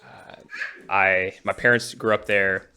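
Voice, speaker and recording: A young man from Alabama in the United States giving a personal testimony, often halting and restarting his phrases. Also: low-pitched, fairly even intonation, sharp tone. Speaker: church member giving testimony.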